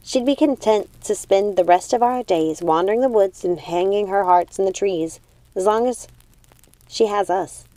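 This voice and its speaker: A calm, pale young storybook witch, read by a woman, playing peacemaker. Her mellow voice is lifted a little and reassuring, in a dry, close recording. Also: mid-range voice, normal speed, dry recording, lightly acted, low-quality recording. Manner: reassuring, reasoning.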